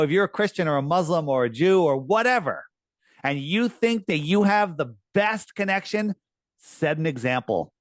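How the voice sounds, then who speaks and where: A man speaking as a podcast guest, earnest and emphatic, his voice worn and going hoarse. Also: no room sound, studio quality. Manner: earnest, emphatic, rising pitch on key points. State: losing his voice. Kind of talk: conversational interview talk.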